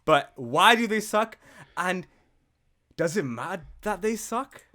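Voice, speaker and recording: A man's voice: high voice, animated, slow pace, bright, forceful tone. A man co-hosting a podcast in casual, sweary chat, blunt and opinionated in how he talks. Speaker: podcast co-host.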